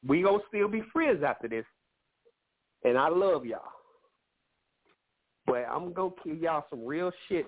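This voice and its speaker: A man's voice: mid-range voice, husky. Profane male radio host on a tinny line. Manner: loose, profane, preachy advice to listeners. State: nasal and wheezy from allergies. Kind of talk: casual call-in radio advice talk. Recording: phone-like lo-fi line.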